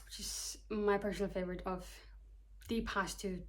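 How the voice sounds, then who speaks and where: Young woman from Kyrgyzstan, casual vlog chat, self-mocking, reading from notes, mid-pitched voice. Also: flat delivery, normal speed. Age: 20s. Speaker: vlogger, psychology student. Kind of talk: casual talking-to-camera vlog.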